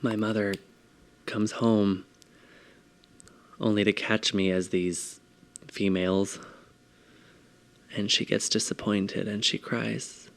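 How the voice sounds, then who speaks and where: A man with a soft, feminine-sounding voice performing a theatrical confessional monologue, mostly quiet and measured. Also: lowish voice, level tone.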